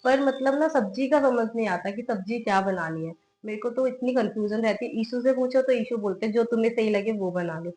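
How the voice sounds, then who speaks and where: Hoarse young mother vlogging at home. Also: fairly high pitch, brisk, hardly any pauses, darkish tone. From Himalayan hill region of India. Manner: chatty, talks to camera and coaxes her toddler. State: hoarse from a cold. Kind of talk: casual home vlog. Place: family home.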